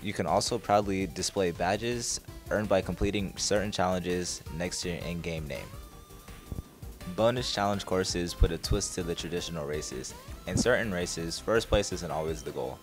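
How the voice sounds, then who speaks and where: A man's voice: sits low, moderate pace. A man presenting a video game segment in scripted narration. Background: music.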